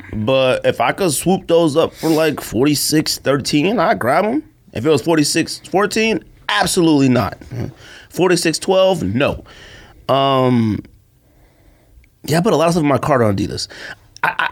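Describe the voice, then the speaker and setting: A man chats casually as a podcast co-host, his pitch swinging around in a very lively way. He is slangy and jokey, and he has a cold that leaves him slightly under the weather. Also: moderate pace. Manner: animated, joking, slangy. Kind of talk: casual chat.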